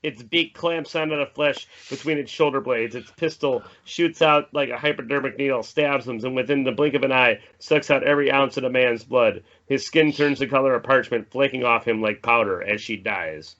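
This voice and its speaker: A man's voice: mid-range voice, normal speed, hardly any pauses, a bit bright, muffled recording. A man reading a screenplay's stage directions as dramatic, descriptive scripted narration.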